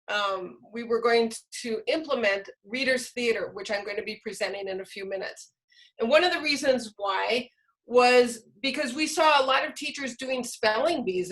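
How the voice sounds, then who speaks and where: Woman, fairly high voice, teacher trainer, explanatory, online workshop presentation, question-posing delivery. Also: pushed and bright. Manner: explanatory, poses questions to listeners. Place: online video call.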